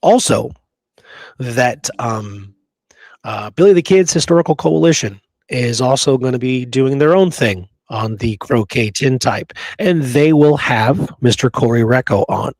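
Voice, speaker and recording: A man co-hosting a history show in casual discussion, talking informally and haltingly with frequent asides. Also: mid-range voice, darkish tone, no echo. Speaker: co-host of a history talk show.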